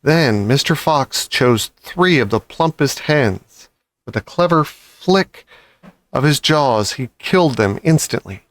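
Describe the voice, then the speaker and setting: A man's voice: mid-range voice, animated, slow, a bit bright. A man reading a children's story aloud as scripted narration. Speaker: audiobook reader.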